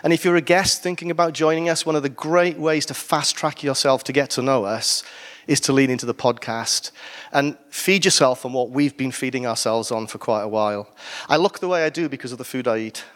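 A Welsh man preaching to his church. His voice sits at an ordinary middle pitch. He delivers the sermon casually, breaking off for jokes and asides. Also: a little fast, a bit bright. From Wales. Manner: conversational with asides and humor.

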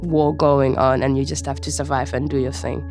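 A young woman with a Somali accent speaks as an interview guest. She tells her own story casually, in halting, informal phrases, with faint music behind her. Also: extremely low pitch, level tone, a little mellow. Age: young adult. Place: studio.

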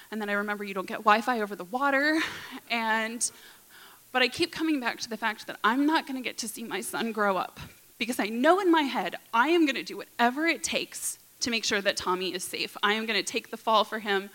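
A woman in her thirties recounts a personal story aloud, earnest and vivid, slipping into hushed passages. Her voice is bright and crisp at a middling pitch. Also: poor audio. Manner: earnest, vivid, present-tense retelling, often softening to a hush. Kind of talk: personal story told to an audience.

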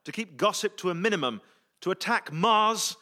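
A man hosting a live comedy radio show, brisk and jokey as he runs audience questions on stage. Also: sits high, expressive, bright, forceful tone. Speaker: host. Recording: live audience laughter and noise.

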